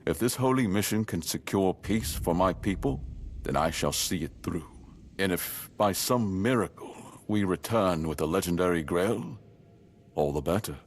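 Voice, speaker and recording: An actor playing a solemn, duty-bound noble lord in a game, speaking in a measured, stately way. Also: male voice, low-pitched, animated, a little hiss or hum. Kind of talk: voiced game dialogue.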